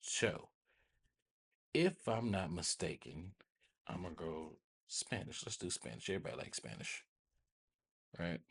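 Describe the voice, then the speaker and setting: An American man with a husky voice hosting a casual software tutorial, rambling informally and often checking in with listeners. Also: deep voice, big pitch swings, measured pace, long pauses. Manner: informal, rambling, repeats check-in tags like "right?" and "okay?". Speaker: host of a tech tutorial channel.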